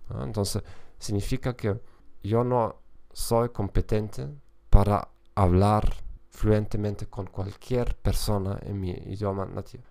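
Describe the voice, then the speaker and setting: Man, gravelly low voice, hesitant podcast talk, frequent fillers and self-corrections. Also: a little mellow. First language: German. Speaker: podcast host and language teacher. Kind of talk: casual solo podcast talk.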